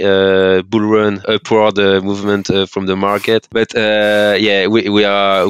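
A man's voice: on the low side, a bit bright, clear, resonant tone, no echo. A French man with a French accent, speaking as a podcast guest in a casual interview, hesitant, with many fillers and restarts. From France.

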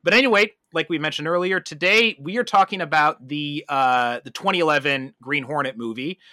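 A man's voice: a little high, fairly quick, pushed and bright, no room sound. A man hosting a podcast, talking casually about films and shows. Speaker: podcast host. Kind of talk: casual film discussion.

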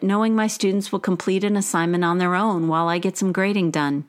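A woman reading a personal essay aloud in measured, deliberate literary phrasing. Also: fairly low pitch. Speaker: author and teacher.

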